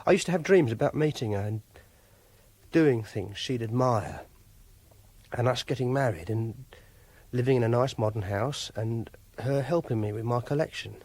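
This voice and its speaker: An actor playing an obsessive, lonely, self-pitying English clerk, confiding haltingly in a first-person radio drama monologue. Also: male voice, mid-range voice, a little mellow, no echo, natural voice. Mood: bitter, resigned.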